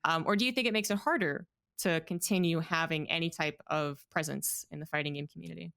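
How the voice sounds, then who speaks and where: A woman with a low voice interviews a guest, asking curious, probing questions. Her tone is smooth and clear, and the recording is dry and close. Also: fairly quick, a bit bright, dry recording. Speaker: podcast host.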